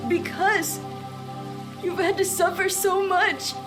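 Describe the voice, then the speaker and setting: A woman's voice: very high voice, steady tone, takes it slowly, over music. A woman playing an earnest, boisterous, good-hearted young Japanese boy in an anime audio drama, blunt and eager. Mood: apologetic, moved.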